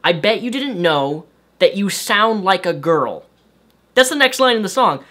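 Young man with a light, high voice ranting. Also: measured pace. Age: young adult. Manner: animated, exasperated, waves hands. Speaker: video host. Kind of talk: ranting commentary vlog. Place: bedroom with shelves of toys and games.

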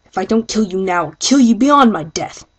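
A woman voicing a stern, threatening male clan cat, solemn and deliberate, for an amateur audition. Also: mid-range voice, animated, breathy edge.

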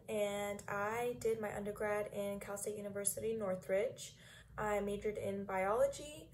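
A woman's voice: medium pitch, a little mellow, slight background noise. Chatty young woman, filler-heavy. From Los Angeles, California. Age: 20s. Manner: chatty, many ums and likes. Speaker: dental student. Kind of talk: interview answers to camera. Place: small room indoors.

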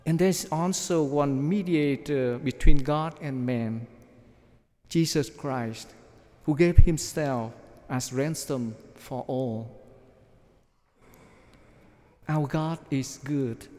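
A middle-aged man, a priest, preaching a measured sermon from notes at a church lectern microphone. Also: on the high side, a little mellow. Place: large church, at the ambo. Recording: long church reverb.

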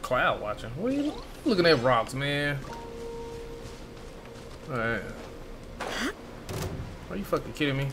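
A man streams a video game with casual, grumbling commentary. He talks in slang and swears, in a mid-pitched voice, with clear game music behind him. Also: very animated, a little slow, pauses often. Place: indoor room.

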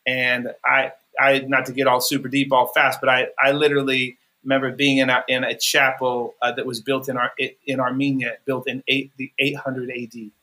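A man's voice: mid-range voice, flat delivery, normal speed, hardly any pauses, sharp tone, poor audio. A man from California, a musician guest on a podcast, talking earnestly and rambling with many restarts. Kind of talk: podcast interview.

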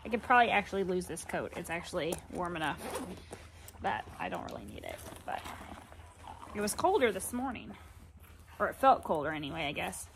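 A woman's voice: lowish voice, lively intonation, brisk, creaky, long pauses, slight background noise. Chatty woman farmer vlogging outdoors. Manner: chatty, rambling, down-to-earth. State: sore and tired. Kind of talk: casual vlog chat to camera. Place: outdoors on a farm, by fields and a cattle barn. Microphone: phone held at arm's length.

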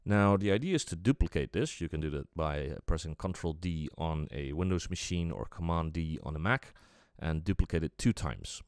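A man with an extremely low, smooth voice walks through a software tutorial in a casual way. He explains step by step, feeling out his sentences as he goes. The sound is dry and close to the mic. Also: animated, normal speed, few pauses, no echo. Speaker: music production tutorial presenter.

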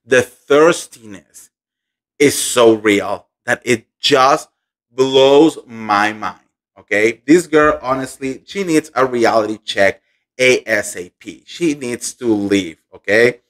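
A man's voice: mid-range voice, takes it slowly. Indignant man dishing gossip. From Colombia. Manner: animated, indignant, emphatic repetition. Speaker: pop culture gossip host. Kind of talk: gossip commentary vlog. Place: home room indoors. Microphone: studio microphone on boom arm.

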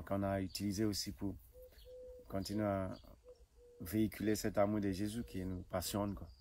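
A middle-aged man from Nigeria, an evangelist, telling his story outdoors in a garden, halting and full of fillers and restarts. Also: deep voice, darkish tone. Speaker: evangelist guest. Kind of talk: personal testimony interview. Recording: faint outdoor noise, birds.

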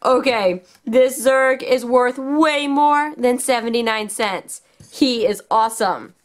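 A woman with a fairly high voice presents toys to children. She talks very unhurriedly and casually, with simple wording and plenty of enthusiasm. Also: slow. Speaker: children's toy channel host. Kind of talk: casual hands-on toy showcase for children.

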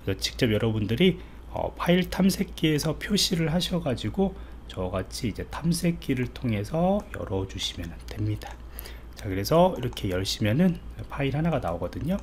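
Male instructor, mid-pitched voice, animated intonation, informal step-by-step tutorial delivery, faint noise with keyboard typing. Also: normal speed. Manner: explains step by step, checking in with listeners. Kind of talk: screencast programming tutorial, informal explanation.

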